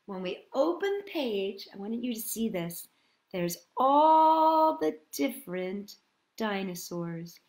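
Middle-aged woman hosting storytime, unhurried, roomy sound. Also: mid-range voice, very animated, darkish tone. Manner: conversational, addresses the listeners with questions. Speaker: children's storytime host. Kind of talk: storytime chat to young children, with read-aloud and singalong.

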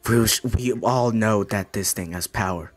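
A man voicing a brooding, cocky cartoon hedgehog in a curt, deadpan, husky amateur comic voice-over. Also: fairly low pitch, a little slow, faint music behind. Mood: grumpy. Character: brooding, cocky male hedgehog, ultimate life form.

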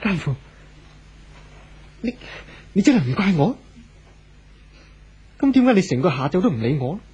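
A husky, anxious devoted husband. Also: male voice, sits high, very animated, normal speed, mellow, heavily acted. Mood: pleading. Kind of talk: 1970s radio drama acting. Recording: dull old radio recording.

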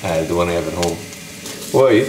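Irish guy in his 30s talking thru a recipe in his kitchen, lowish voice, easygoing, bit of hiss. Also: moderate pace. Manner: relaxed, explanatory, chatty asides. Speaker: cook demonstrating a recipe. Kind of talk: casual cooking demo chat. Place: home kitchen indoors.

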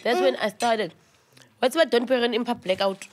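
A woman's voice: on the high side, normal speed, a little hiss or hum. A woman of about forty with a South African accent, chatting casually as a host in a blunt, teasing way. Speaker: podcast host. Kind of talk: casual, playful chat. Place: indoor lounge, on a sofa. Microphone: handheld microphone.

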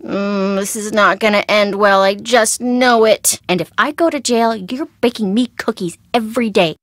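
A woman playing a perky, chatty and nervous young American sidekick in a video game, expressive and wry in a casual conversational way. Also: medium pitch, normal speed, no room sound, clean studio sound, natural voice. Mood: reluctant, exasperated.

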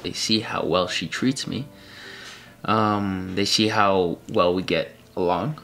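A man in his late twenties, relaxed and teasing, chatting casually beside his fiancée. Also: sits low, slow pace, vocal fry, soft background music. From Argentina. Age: 20s. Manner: teasing, relaxed, laid-back storytelling. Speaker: fiancé and guest. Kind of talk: casual chat. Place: small indoor room in front of a plain wall.

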